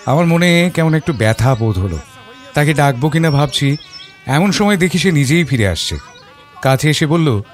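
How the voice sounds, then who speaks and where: An actor playing a reflective father who narrates his memories in a measured, literary and expressive audio story style. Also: fairly high pitch, close to an everyday voice. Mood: regretful. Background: background music.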